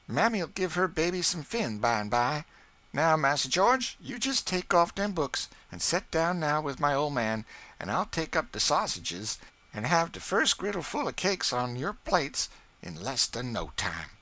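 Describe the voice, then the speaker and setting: A proud, scolding older woman cook who brags about her baking in a folksy drawl, put on by a male reader. The voice sits high and loud, swooping up and down as she fusses. A storybook figure full of bustle and scorn. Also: sing-song, normal speed. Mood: bustling proud. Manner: scolding, boastful folksy dialect. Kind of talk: audiobook character voice.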